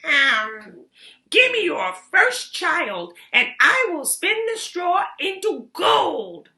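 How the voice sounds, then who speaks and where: A woman's voice: extremely high pitch, very animated, drawn-out words, bright, forceful tone, reverberant, voice pushed up, heavily acted. A woman voicing a greedy male goblin who bargains and demands, in a children's storybook read-aloud. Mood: sly, bargaining.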